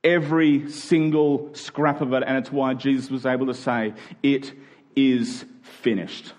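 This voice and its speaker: A man's voice: mid-range voice, fairly even intonation, unhurried, a little mellow. A man preaching a sermon to a congregation in an emphatic style, using rhetorical questions and repetition.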